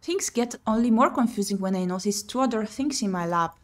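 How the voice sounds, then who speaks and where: A woman streaming a visual novel playthrough, reading the text aloud and thinking out loud as she plays. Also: mid-range voice, expressive, brisk. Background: game music.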